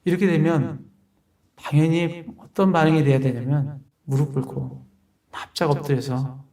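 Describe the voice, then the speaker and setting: A man's voice: on the high side, steady tone, moderate pace, warm tone, far from the mic. A man preaching a sermon as pastor, explaining a passage verse by verse and asking rhetorical questions.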